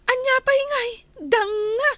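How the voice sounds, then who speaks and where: A woman's voice: very high-pitched, steady tone, slow pace, fairly bright tone, dry recording, heavily acted. A woman with an Ilocano accent playing a jealous, suspicious rich madam who questions her maids haughtily in a village comedy radio drama. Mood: jealous, scornful.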